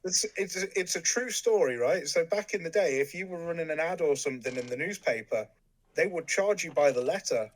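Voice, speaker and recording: A young man with an English accent, a guest in a casual chat, relaxed with lots of ums and dry humor. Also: on the high side, a little fast, rough recording. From England. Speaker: podcast guest.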